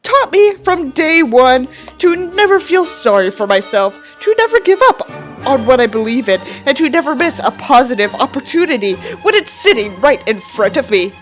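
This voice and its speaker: Woman reading as a pompous, bitter young man, animated. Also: high-pitched, normal speed, faint music behind. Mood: solemn, bitter. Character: self-important, bitter young male journal writer. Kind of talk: mocking dramatic reading of a novel.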